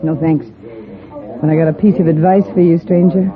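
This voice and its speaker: A guarded frontier saloon girl played by a woman in an old radio western. Her voice comes through a thin, tinny broadcast recording, over the clear murmur of a crowd. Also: low-pitched, fairly even intonation, moderate pace, lightly acted. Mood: guarded, warning. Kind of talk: 1950s radio western acting.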